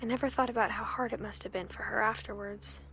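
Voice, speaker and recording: A woman's voice: on the high side, fairly even intonation, fast talker, creaky, bright, forceful tone, a little hiss or hum. A woman playing a reflective young woman who narrates her family history in a video game, intimate and understated. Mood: wistful, reflective. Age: young adult. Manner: wistful, understated.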